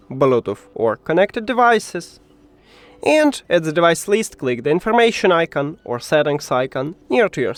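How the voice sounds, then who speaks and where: A man's voice: on the high side, no room sound. A man who speaks English as a second language, presenting a step-by-step how-to tutorial with simple, instructional phrasing. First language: not English.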